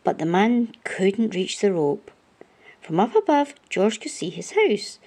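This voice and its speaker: Mellow-voiced woman reading a storybook. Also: mid-range voice, sing-song, unhurried. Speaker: children's storybook reader. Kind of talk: read-aloud storybook narration.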